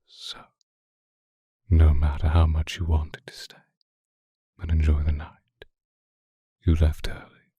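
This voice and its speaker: A man's voice: mellow, no room sound, clean studio sound, exaggerated voice. A man playing an obsessive, predatory vampire in an ASMR roleplay, speaking intimately with menacing courtesy and often slipping into a whisper. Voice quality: very deep, husky, often breaking into whisper. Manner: slow, intimate, menacingly courteous, drawn-out phrases. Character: obsessive, predatory male vampire stalking his beloved.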